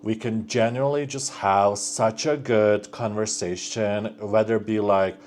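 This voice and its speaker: A man's voice: fairly low pitch, fairly even intonation, measured pace, darkish tone. A young man chatting casually on his vlog, rambling with lots of fillers and restarts. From Bosnia and Slovenia region, raised in North America from thirteen. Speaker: vlogger. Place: at home, working on a build project.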